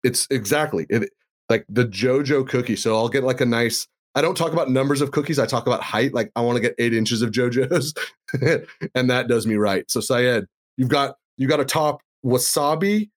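A man hosting a podcast with a mid-pitched, ordinary voice. He talks with eager enthusiasm and jokes around, drifting into rambling asides. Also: normal speed. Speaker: podcast host. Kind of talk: casual chat.

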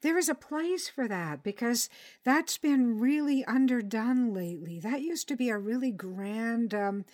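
A woman's voice: fairly high pitch, lively intonation, measured pace, a little mellow. Encouraging woman hosting an interview. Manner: encouraging, affirming. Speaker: podcast host and interviewer. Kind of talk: conversational literary interview.

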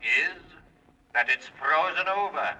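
Piercing magic mirror spirit. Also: male voice, a little high, lively intonation, takes it slowly, pushed and bright, full character voice. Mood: solemn, foretelling. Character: magic mirror, a solemn oracle spirit answering in rhyme. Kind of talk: 1930s children's radio serial acting. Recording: distant-sounding vintage 1930s radio recording.

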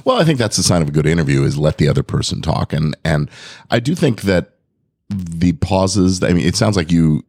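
Man with a very deep, booming voice. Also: animated, brisk, vocal fry, darkish tone, no room sound, clean studio sound, soft background music. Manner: booming, robust voice. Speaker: podcast host. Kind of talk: casual conversational interview.

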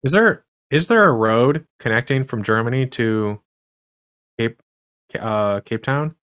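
A man's voice: medium pitch, expressive, unhurried, a bit bright, smooth. A man hosting a podcast, asking rambling questions full of restarts and fillers. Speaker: podcast host. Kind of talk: casual interview chat.

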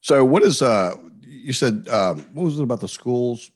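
A man hosting a podcast interview, relaxed and upbeat, asking short, friendly questions. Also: medium pitch, normal speed. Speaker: podcast host. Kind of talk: casual interview chat.

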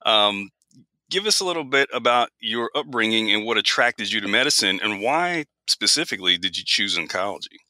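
A man hosting a podcast, asking his guest questions in a measured way with a few fillers. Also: lowish voice, lively intonation, bright, forceful tone, no room sound. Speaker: podcast host. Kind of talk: podcast interview.

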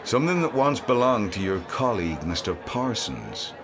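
Man playing a sly low-voiced hangman peddler. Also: big pitch swings, moderate pace, crowd noise. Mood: sly, wheedling. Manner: theatrical salesman's patter. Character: sly, smooth-talking hangman peddling grisly magic talisman.

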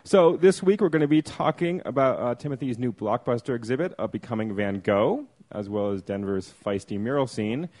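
A man hosting a podcast, chatting in a relaxed, easygoing way with plenty of uh fillers, recorded inside a clock tower room. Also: mid-range voice, fairly quick, hardly any pauses. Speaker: podcast host. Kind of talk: casual podcast chat.

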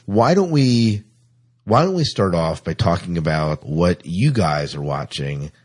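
A man hosting a podcast in a casual, chatty style, with a husky voice. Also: low voice, lively intonation, unhurried, a little mellow. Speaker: podcast host. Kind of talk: casual chat.

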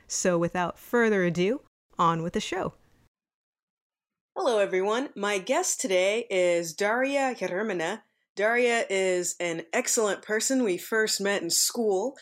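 A woman's voice: medium pitch, animated, moderate pace. A woman hosting an interview podcast, reading a friendly intro and then chatting with her guest. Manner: upbeat, friendly scripted intro. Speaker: podcast host.

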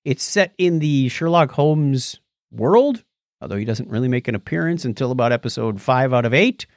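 Animated man hosting a casual podcast. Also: mid-range voice, moderate pace, no echo, clean studio sound. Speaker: podcast co-host. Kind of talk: casual podcast banter and announcements. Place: podcast studio. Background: music.

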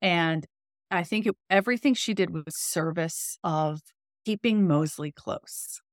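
A woman author being interviewed, explaining in a conversational, expressive, emphatic storytelling way. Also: fairly low pitch, measured pace, no room sound, clean studio sound.

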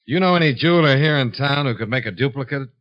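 Guy doing a old radio detective, brisk questions and case narration, average pitch, dull lo-fi. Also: lightly acted. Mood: probing. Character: brisk, probing male insurance investigator narrating his case. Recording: dull lo-fi 1950s radio recording.

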